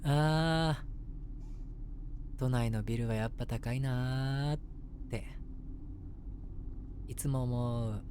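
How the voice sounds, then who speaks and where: A man's voice: medium pitch, fairly even intonation, very slow, pauses often, a little mellow, slight background noise. A man playing an eager, submissive, lovestruck young man in an audio drama, chatting and rambling to the listener with self-mockery. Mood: deflated, wistful. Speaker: voice actor. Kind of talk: situation voice drama, first-person monologue to the listener.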